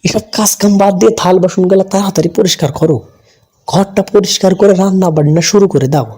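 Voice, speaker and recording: A man's voice: high-pitched, quick pace, slightly rough. A man voicing a strict, bossy old father-in-law in a cartoon, with a harsh, scolding manner. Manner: harsh, bossy, shouting rebukes. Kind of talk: cartoon voice acting.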